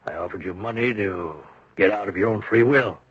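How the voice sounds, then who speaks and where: An American actor playing a wealthy, controlling middle-aged father in a 1970s radio drama, condescending and menacing, with a husky voice. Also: fairly low pitch, brisk. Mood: quietly threatening. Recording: dull, muffled vintage radio recording.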